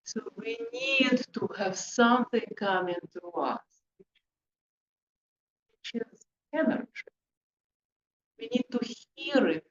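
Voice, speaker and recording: A woman speaking English as a second language, presenting to a group in short, deliberate, emphatic phrases with rhetorical questions. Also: a little high. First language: not English. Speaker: presenter, hypnotherapist. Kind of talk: interactive talk to a group. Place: room with an audience, indoors. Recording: echoey, muffled room recording with background noise.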